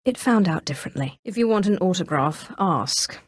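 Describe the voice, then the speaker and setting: A woman's voice: on the low side, expressive, moderate pace, no room sound, clean studio sound. A woman playing a haughty, menacing Sith sorceress in scripted video game dialogue, sardonic and imperious. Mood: imperious, impatient. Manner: imperious, sardonic, crisply commanding.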